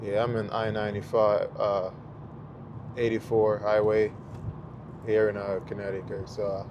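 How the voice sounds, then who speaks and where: A man's voice: lowish voice, normal speed. A middle-aged man with a Jamaican accent, chatting casually to viewers while driving, rambling through a story with lots of fillers. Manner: rambling, relaxed storytelling with many fillers and restarts. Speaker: vlogger. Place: inside a car on a highway. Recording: car and road noise in the background.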